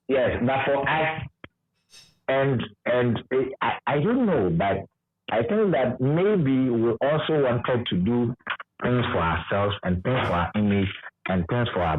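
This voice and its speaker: Husky Ghanaian man on a phone-like line. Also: medium pitch. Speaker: radio presenter. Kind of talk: reminiscing conversation. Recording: muffled, narrow phone-like line.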